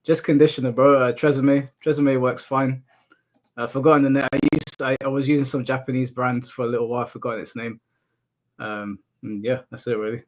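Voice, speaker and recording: A man from the UK with a monotone voice, casually chatting and answering his livestream chat, laughing off insults. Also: medium pitch, fairly quick. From United Kingdom. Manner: relaxed, laughs off insults, addresses chat as bro. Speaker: streamer. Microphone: headphones in, desk mic.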